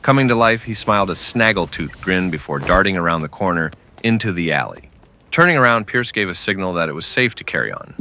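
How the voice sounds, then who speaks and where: A man narrating a scripted western in old-time radio style, with dramatic, deliberate storytelling. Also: low voice, big pitch swings, pushed and bright, a little hiss or hum.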